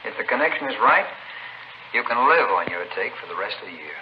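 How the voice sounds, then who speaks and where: Smooth male racketeer, vintage radio drama; low-pitched, rapid, polite, relentlessly persuasive. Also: very animated. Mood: insistent. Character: smooth, insistent male narcotics dealer courting a contact. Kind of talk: 1950s radio crime drama acting. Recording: noisy muffled old radio recording.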